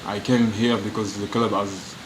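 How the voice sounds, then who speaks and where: Halting low-voiced man, noisy room. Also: flat delivery. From France. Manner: halting non-native English, frequent restarts. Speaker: professional footballer. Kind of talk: press interview answers. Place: media room.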